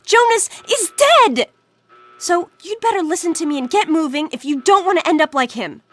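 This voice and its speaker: An anxious teenage girl in a video game. Her voice is high and youthful, and she speaks in an earnest, pleading way, like a loyal friend. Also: expressive, normal speed, a bit bright. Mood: urgent, alarmed. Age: young adult. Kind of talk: video game dialogue acting.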